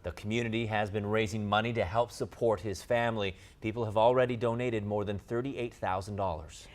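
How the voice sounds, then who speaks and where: A man's voice: lowish voice, steady tone, a little fast. A man with an American accent reading scripted television news as an anchor.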